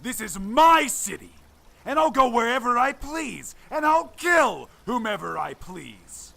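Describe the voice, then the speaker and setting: A man's voice: very high voice, big pitch swings, measured pace, gravelly, bright, forceful tone, pitched above the performer's own voice, full character voice. A man voicing an arrogant, menacing young crime boss in a suit, sneering and threatening. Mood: domineering.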